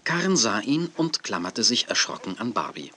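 A man's voice: lowish voice, sing-song, normal speed, pushed and bright, slight background noise. A man narrating a scripted children's story as the narrator of an audio drama.

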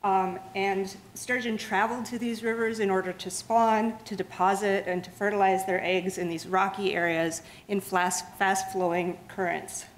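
A woman's voice: medium pitch, normal speed, hardly any pauses, slight background noise, low-quality recording. Woman giving a slide lecture. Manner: steady explanatory pace with frequent restarts and fillers. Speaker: museum lecturer. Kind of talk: public science lecture with slides. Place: lecture room.

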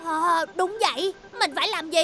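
A woman voicing an excitable, carefree young boy hero in a dubbed cartoon, exclamatory and enthusiastic. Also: very high-pitched, normal speed. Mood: urgent, battle-ready. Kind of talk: Vietnamese cartoon dub.

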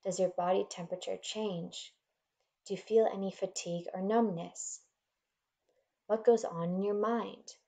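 A woman leading a guided meditation in a gentle, soothing, measured manner, leaving pauses between instructions. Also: medium pitch, steady tone, vocal fry.

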